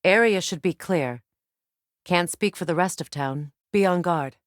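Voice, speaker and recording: Video game warrior sorceress, female actor: lowish pitch, very dry sound, guarded and measured, with a dry, pointed edge of distrust. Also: no echo, close to an everyday voice. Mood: calm, practical. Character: guarded, battle-hardened warrior goddess and sorceress, wary ally. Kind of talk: dramatic video game character acting.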